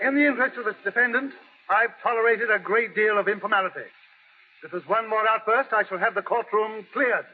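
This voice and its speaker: An actor playing a stern but tolerant judge, formal and commanding in old radio drama style. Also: male voice, high voice, a little fast, phone-quality sound, a faint crowd, natural voice. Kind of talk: 1930s-40s radio drama acting. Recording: echoing, noisy old radio courtroom scene.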